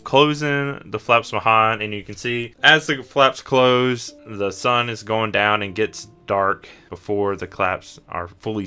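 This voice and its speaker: Bright, clear male game master. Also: on the low side. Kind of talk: improvised tabletop roleplay narration.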